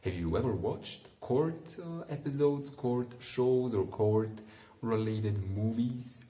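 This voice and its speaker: Male bedtime reader, very low and unhurried, informal and musing, recorded in a roomy, echoing space. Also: mellow. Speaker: bedtime story reader and commentator. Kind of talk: relaxed read-aloud with casual asides to help listeners sleep.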